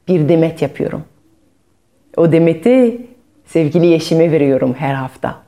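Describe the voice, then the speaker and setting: A woman voicing a kind male water carrier in a storybook tale, speaking in a gentle, encouraging and patient way. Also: fairly low pitch.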